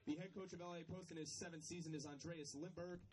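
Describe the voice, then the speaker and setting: A man making formal, measured stadium announcements, reading out names and numbers over a public address system. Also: fairly high pitch, steady tone, darkish tone. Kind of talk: formal scripted lineup announcement. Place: outdoor soccer stadium, over loudspeakers. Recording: distant stadium PA pickup.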